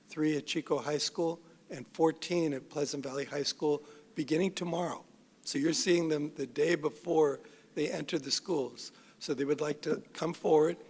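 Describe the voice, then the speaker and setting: A man giving a polite, measured introduction as a guest presenter at a public meeting. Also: a little high, darkish tone, husky. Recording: reverberant meeting hall.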